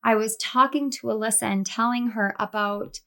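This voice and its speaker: A woman with a slightly scratchy, soft voice from throat strain, chatting affectionately as a tarot reader, speaking close to her microphone. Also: a little high, fairly even intonation, moderate pace. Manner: chatty and affectionate, many fillers. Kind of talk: casual spiritual vlog chat.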